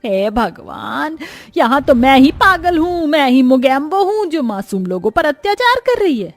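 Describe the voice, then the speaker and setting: A woman's voice: sits high, no echo. A woman voicing a bitter elderly mother-in-law who scolds, taunts and complains theatrically in a storybook tale. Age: older. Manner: scornful, self-pitying, theatrical complaining.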